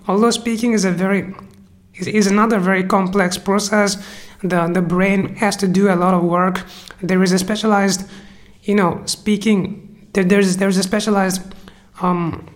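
Halting lecturer with a cold. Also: mid-range voice. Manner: halting, many restarts and "you know" fillers. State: has a cold. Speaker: teacher. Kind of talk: unscripted explanatory lecture.

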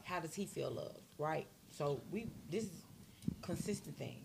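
A woman's voice: low voice, expressive, a little slow, vocal fry, darkish tone, slight background noise. A woman arguing bluntly and with lots of swearing in a casual group talk. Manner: blunt, profane, talks over others.

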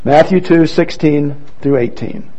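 A man introducing scripture readers at a church program, speaking in a relaxed way with light asides, on a muffled recording. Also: on the high side, a little slow, breathy edge. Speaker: worship leader introducing readers. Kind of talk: church service emcee.

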